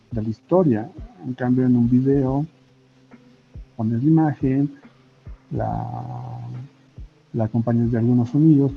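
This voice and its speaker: A Bolivian man speaking Spanish as an interview guest, thoughtful and hesitant, often checking in with short tag questions. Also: medium pitch. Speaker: guest, comic artist and illustrator. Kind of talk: casual interview conversation. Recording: muffled lo-fi audio with faint music. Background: faint background music.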